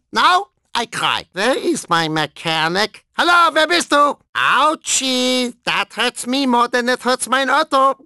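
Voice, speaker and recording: A man voicing a deranged, gleefully violent and whiny German cyborg racer in a video game, with an exaggerated German accent and an excitable, theatrical delivery. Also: very high voice, gravelly, no echo, clean studio sound, exaggerated voice. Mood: whining, distressed. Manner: theatrical, excitable, tacking questioning tags onto remarks. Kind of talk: cartoon video game character clips.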